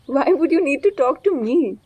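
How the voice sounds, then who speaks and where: A compassionate young woman with a high voice played by an actress in an audio play. She is bold and idealistic, speaking in a heartfelt, expressive and dramatic way, recorded dry and close. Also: moderate pace, no echo, muffled recording. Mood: hopeful, curious.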